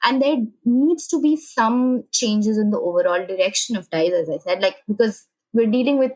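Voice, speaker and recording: Woman, Indian accent, medium pitch, analytical podcast guest, explanatory delivery. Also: animated, normal speed, poor audio. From Calcutta, India. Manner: explanatory, often checks with "right?".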